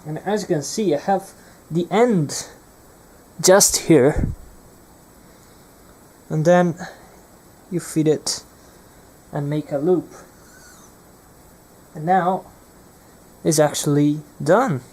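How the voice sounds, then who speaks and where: Slow, halting young man explaining hands-on. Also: a little high, a little mellow. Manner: halting, hesitant, searching for words. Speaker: presenter of an electronics teardown. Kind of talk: hands-on demonstration, unscripted explanation.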